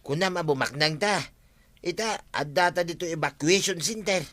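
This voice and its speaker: Distressed, high-voiced village husband. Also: male voice, moderate pace. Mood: rueful. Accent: Ilocano. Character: distressed villager husband whose home and field collapsed. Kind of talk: Ilocano radio comedy drama acting. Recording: noisy radio drama with loud ambient effects.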